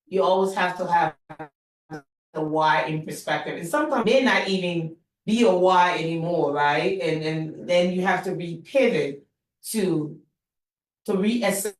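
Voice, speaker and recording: A middle-aged woman hosts the talk, asking thoughtful questions in an encouraging way. Her voice sits a little low, and the room gives it a clear echo. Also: measured pace. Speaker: host, mother. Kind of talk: interview-style conversation. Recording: echoey room.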